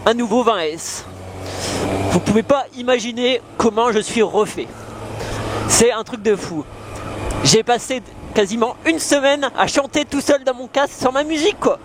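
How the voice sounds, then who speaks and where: A man's voice: very high-pitched, animated, normal speed. A young man chats casually in slang, hesitating and thinking aloud as a motorcycle vlogger. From France. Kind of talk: casual chat. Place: outdoors on a moving motorcycle. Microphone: helmet intercom microphone. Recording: clear vehicle and wind noise, helmet intercom.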